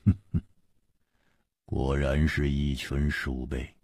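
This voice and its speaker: A man's voice: rumbling low, little pitch movement, takes it slowly, darkish tone, husky, no room sound, studio quality, voice pushed down, exaggerated voice. A man voicing a sinister swordsman with a weeping face who speaks in a cold, eerie, half-crying way. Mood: menacing.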